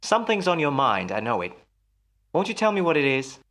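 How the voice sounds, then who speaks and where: A man playing a smooth, solicitous husband in an old-time radio melodrama, soothing and patronizing with a quietly menacing edge. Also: a little high, very animated, fairly quick. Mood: smoothly insistent.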